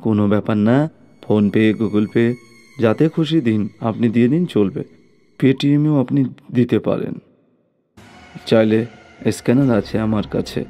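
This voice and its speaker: A man's voice: medium pitch, measured pace, long pauses, warm tone, dull audio, faint music behind, close to an everyday voice. A man voicing a businesslike male doctor who minds his fee in a cartoon story, with matter-of-fact delivery. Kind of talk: scripted cartoon story acting.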